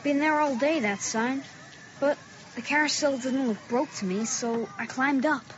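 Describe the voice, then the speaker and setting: High-pitched daring young boy. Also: unhurried, lo-fi sound, noisy background. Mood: fascinated. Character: bold, reckless, curious thirteen-year-old Midwestern boy. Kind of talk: radio play acting with narration.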